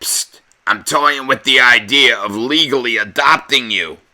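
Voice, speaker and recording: A man voicing a smooth-talking television set from a children's storybook, with assured salesman patter. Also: mid-range voice, measured pace, sharp tone. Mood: confiding.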